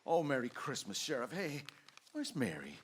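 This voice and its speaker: A man's voice: medium pitch, huge pitch swings, normal speed, slight background noise. An actor playing an overjoyed small-town husband and father in a radio drama, stammering and exclaiming. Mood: giddy, breathless. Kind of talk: old-time radio drama acting.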